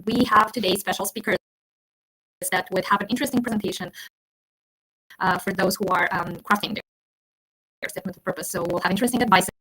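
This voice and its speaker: A woman's voice: medium pitch, quick pace, pauses often, bright, forceful tone, slightly rough, poor audio. A woman education advisor hosting a live webinar, friendly and talking with many fillers and restarts.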